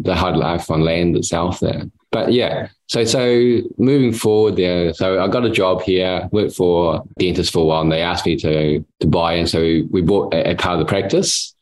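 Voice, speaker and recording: A man in his sixties from New Zealand with a New Zealand accent, a dentist speaking as a guest in a casual interview. He talks haltingly, repeating words and often ending his phrases with "there". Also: sits low, rough recording. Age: 60s.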